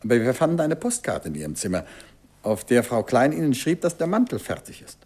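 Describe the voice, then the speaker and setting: An actor playing a courteous, persistent middle-aged detective in a 1950s German radio drama, questioning softly and insistently with a husky, gravelly voice. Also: male voice, medium pitch, sing-song, a little fast. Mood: insistent, confronting.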